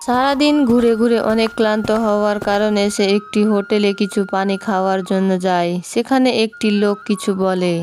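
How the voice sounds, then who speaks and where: A woman's voice: medium pitch, steady tone, a little fast, few pauses, a little mellow. A woman reading scripted narration for a cartoon moral story, with music behind her.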